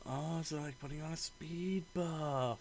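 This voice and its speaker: A man's voice: on the high side, steady tone, a little slow, gravelly, slight background noise. A man reading a visual novel aloud in a let's play, narrating the story and acting out the dialogue expressively.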